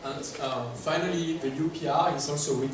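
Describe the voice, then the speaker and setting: A man's voice: fairly high pitch, fairly even intonation, moderate pace. A man with a French accent giving a workshop presentation in English, hesitant and full of filler words, asking the audience questions. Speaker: workshop speaker. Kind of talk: conference presentation. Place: large echoey conference room. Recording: echoey distant room recording with noise.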